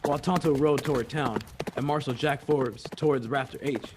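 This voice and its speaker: A man's voice: mid-range voice, a little fast, noisy background, lightly acted. A man narrating an old-time western radio drama in a dramatic, scripted storytelling style.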